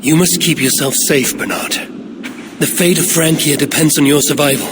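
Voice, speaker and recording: An actor playing a stern, protective Viking warrior in a dramatic video game, measured and commanding, over a noisy background. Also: male voice, fairly high pitch, lightly acted. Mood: grave, protective.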